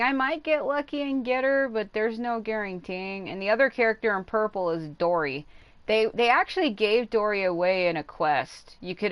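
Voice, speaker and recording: A woman's voice: mid-range voice, smooth, no room sound, lo-fi sound. A woman livestreaming a game, chatting in a rambling, informal way with some casual swearing. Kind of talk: casual livestream chat while playing. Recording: game music behind the voice. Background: game music.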